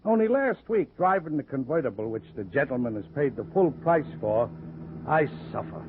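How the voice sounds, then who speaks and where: A man's voice: medium pitch, sing-song, normal speed, a little hiss or hum, lightly acted. An actor playing a grumbling reformed crook turned manservant, with ungrammatical tough-guy talk, in a vintage radio comedy-mystery. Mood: mournful, confiding. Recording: muffled, tinny old radio recording.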